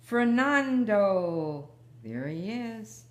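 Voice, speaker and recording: A woman's voice: medium pitch, sing-song, drawn-out words, slight background noise. An older woman reading a children's picture book aloud as a guest storyteller.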